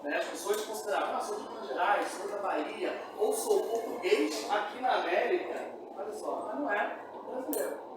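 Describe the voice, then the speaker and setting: A man's voice: high voice, normal speed. A man with a Brazilian accent giving a classroom lecture as a teacher, didactic and often prompting listeners with questions. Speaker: history teacher. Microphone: distant from speaker. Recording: echoey room, distant mic, noisy.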